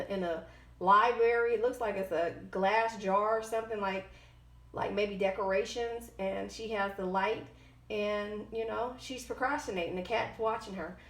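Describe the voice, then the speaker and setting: Woman reading oracle cards in echoey room. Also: mid-range voice. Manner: halting, often stumbles over words and corrects herself. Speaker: tarot card reader, host. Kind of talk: informal oracle card reading, part read aloud, part ad-libbed. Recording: roomy, echoing sound.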